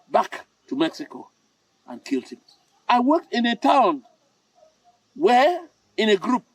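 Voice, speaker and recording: An older man with a Cameroonian accent telling moral stories as a host, speaking deliberately and emphatically and repeating key phrases. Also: high voice, no echo, far from the mic, rough recording. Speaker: storytelling host. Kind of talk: moralising storytelling talk.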